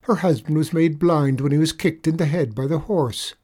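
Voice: mid-range voice, normal speed, warm tone, slightly rough, voice pushed up, natural voice. A performer voicing a wily little Irish leprechaun man in a storybook tale, coaxing with a mournful lilt. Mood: mournful, insistent. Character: wily little leprechaun man spinning pitiful sob stories.

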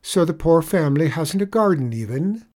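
A performer voicing a soft-hearted, gullible Irish tradesman in a storybook tale, exclaiming tearfully. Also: medium pitch, lively intonation, moderate pace, voice pushed up, lightly acted. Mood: sympathetic.

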